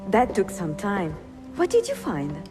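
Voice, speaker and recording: An actress playing a cultured, curious young bookseller in a game cutscene, speaking expressively with an Italian accent. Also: female voice, medium pitch, wildly swooping pitch, measured pace. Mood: intrigued. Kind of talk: dramatic video game cutscene acting. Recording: clear music behind the voice.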